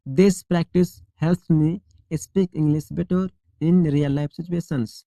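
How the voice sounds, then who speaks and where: A male English teacher leading a shadowing drill, reading each model sentence slowly and deliberately, then repeating it clearly. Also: fairly high pitch, fairly even intonation, mellow, no echo, low-quality recording.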